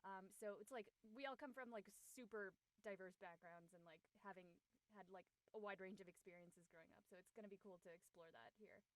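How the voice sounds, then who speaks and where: A woman's voice: mid-range voice, a little fast, poor audio. A young adult woman from the United States, an actor chatting casually about herself. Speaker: actor in the cast. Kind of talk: casual chat.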